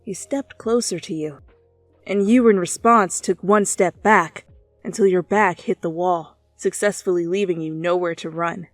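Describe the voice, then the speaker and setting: A woman reading fan fiction aloud as an expressive, theatrical second-person narration. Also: fairly low pitch, unhurried, no room sound. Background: music.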